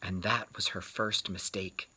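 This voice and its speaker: A man reading a children's book aloud in an expressive storytelling style, with theatrical, deliberate phrasing and dramatic pauses. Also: low voice, husky.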